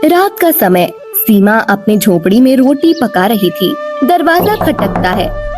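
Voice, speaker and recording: A woman's voice: fairly high pitch, big pitch swings, slow. A woman reading scripted narration for a moral story as its storyteller. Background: background music.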